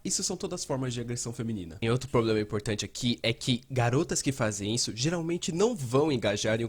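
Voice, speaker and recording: A Brazilian man with a husky, slightly rough voice at a medium pitch. He narrates a dubbed explainer in a conversational style, laying points out like a presenter. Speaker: host and fan dubber.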